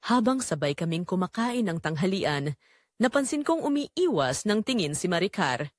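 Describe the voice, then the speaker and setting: A woman's voice: medium pitch, big pitch swings, normal speed, no echo, clean studio sound. A woman with a Filipino accent reading a scripted first-person drama in an emotive, solemn way. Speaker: audio drama narrator.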